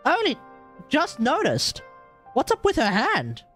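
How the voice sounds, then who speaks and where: A woman streamer giving casual gameplay commentary, excitable and prone to repeating herself. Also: high-pitched, measured pace, soft background music.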